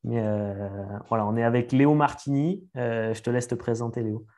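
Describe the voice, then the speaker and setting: A man's voice: medium pitch, fairly quick, darkish tone. A French man hosting an interview podcast, friendly and a bit hesitant, often repeating words. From France. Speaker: podcast host. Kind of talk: casual interview talk.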